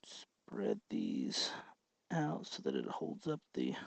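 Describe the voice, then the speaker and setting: A man hosting an informal how-to tutorial, explaining step by step in an unhurried way as he works with his hands. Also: extremely low pitch, huge pitch swings, creaky, no echo. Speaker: host of a DIY electronics tutorial.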